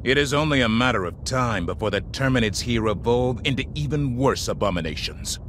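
An actor playing a grave, zealous military officer in a video game, speaking in a solemn, formal, dramatic way. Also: male voice, expressive, fairly quick, close to an everyday voice. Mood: urgent, solemn. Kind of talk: scripted video game voice acting. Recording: rumbling ship engine noise.

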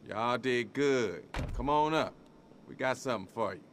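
An actor with an American accent playing a swaggering, flirtatious street hustler in a video game cutscene, with slangy, cocky smooth talk. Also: male voice, medium pitch, animated, moderate pace, slight background noise, natural voice. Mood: smug.

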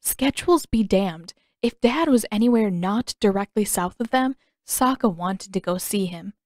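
A woman's voice: mid-range voice, expressive, moderate pace, darkish tone, no echo, studio quality. A woman reading a fan fiction story aloud as scripted audiobook narration. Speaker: podfic narrator and author.

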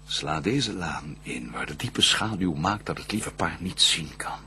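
An actor playing Lucifer, a vengeful, scheming fallen angel, in a theatrical radio play, declaiming in a husky, raspy voice that swings from hushed to roaring. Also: male voice, sits low, expressive, measured pace, slight background noise, full character voice. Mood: bitter, furtive. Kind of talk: theatrical radio drama verse monologue.

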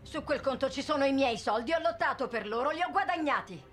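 Bitter scornful money woman in a game, highish intense voice, music behind. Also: lively intonation, a little fast. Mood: angry, desperate. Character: defiant, bitter Russian woman financier under interrogation. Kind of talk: dramatic video game acting.

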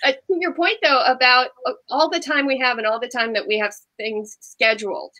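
A woman's voice: sits high, fairly even intonation, fairly quick, bright, forceful tone. A woman from New Jersey, a guest on a live video chat, giving upbeat, encouraging advice. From Maplewood, New Jersey.

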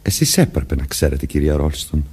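A man's voice: rumbling low, very animated, darkish tone, slightly rough, heavily acted. An actor playing a murderer who poses as a commanding policeman, vengeful and childlike underneath, in a theatrical radio drama manner. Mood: accusing. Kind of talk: Greek radio drama acting.

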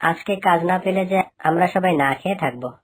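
Struggling male fox labourer for a cartoon, played by a woman: worried, pleading, deep for a female voice. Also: fast talker, natural voice. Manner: plaintive, pleading. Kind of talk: cartoon dialogue.

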